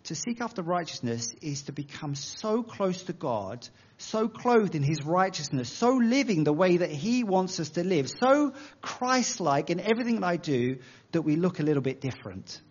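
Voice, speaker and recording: English male preacher, casual self-deprecating delivery, slightly high voice, dull muffled recording. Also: big pitch swings, normal speed. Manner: conversational, self-deprecating, uses analogies. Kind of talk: sermon.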